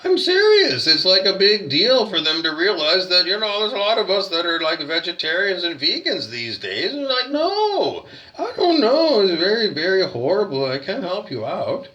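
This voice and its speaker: A man's voice: high-pitched, very animated, moderate pace, pushed and bright, lo-fi sound. A Canadian man rambling through a profane rant, full of restarts and fillers. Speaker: hotel guest complaining.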